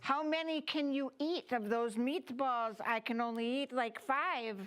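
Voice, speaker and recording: A woman acts out a chirpy Scandinavian camper in a comedy sketch. Her mock Swedish accent sits in a high voice, with an earnest manner. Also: steady tone, measured pace, fairly bright tone, clear, resonant tone. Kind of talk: improv comedy scene.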